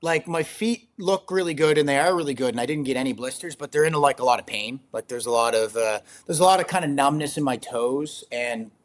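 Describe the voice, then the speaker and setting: A young man with a slightly raspy voice, talking casually as an interview guest, rambling with lots of fillers and frequent laughs. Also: mid-range voice, a little fast, hardly any pauses. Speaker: guest, ultrarunner.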